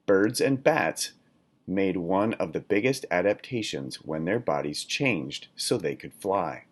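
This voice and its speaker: Slow, deep-voiced man reading aloud. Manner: deliberate, instructional, poses questions to listeners. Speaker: read-aloud reader, teacher. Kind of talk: children's nonfiction read-aloud.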